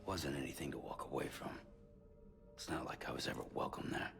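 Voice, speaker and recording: A gruff loner in a cinematic game. His extremely deep, creaky voice is terse and guarded, dismissive and low-key. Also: a little fast, a bit bright, soft background music, full character voice. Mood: guarded, bitter. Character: gruff, guarded loner man of few words. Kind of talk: video game acting.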